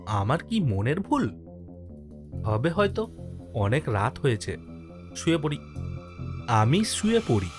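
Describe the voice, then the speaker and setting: A man playing an easygoing village postmaster who narrates his own ghost story in an expressive, dramatic way for a horror cartoon. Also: medium pitch, takes it slowly, long pauses. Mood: uneasy, suspenseful. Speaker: first-person narrator and lead character. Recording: music under the voice.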